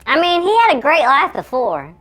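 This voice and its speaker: A woman voicing a shrunken male kids' show host who talks in a frantic, whiny, high chipmunk squeak. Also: wildly swooping pitch, moderate pace, fairly bright tone, full character voice. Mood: curious. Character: shrunken tiny male puppet host, panicky. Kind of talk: children's Bible show comedy.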